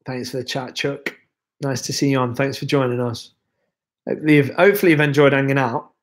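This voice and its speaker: A man's voice: mid-range voice, fairly quick. Man rambling on a livestream. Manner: relaxed, rambling, chatty with many fillers. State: losing his voice, ill. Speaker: livestream host. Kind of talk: casual livestream chat reading viewer comments.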